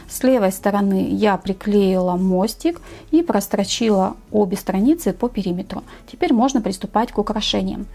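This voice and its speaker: A woman narrating a step-by-step craft tutorial in a measured, explanatory way. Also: mid-range voice, warm tone.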